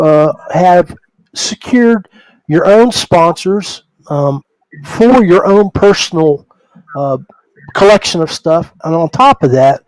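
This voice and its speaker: A man's voice: fairly high pitch, a little mellow, dry recording, low-quality recording. A man hosting a livestream podcast over a video call, chatting informally and chummily with his guests. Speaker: podcast host. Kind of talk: casual livestream podcast chat.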